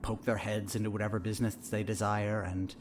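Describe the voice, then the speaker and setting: A man playing a sinister, smug therapist in a horror audio drama, measured and theatrical, with a falsely soothing, condescending manner. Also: lowish voice, flat delivery, a little hiss or hum, close to an everyday voice.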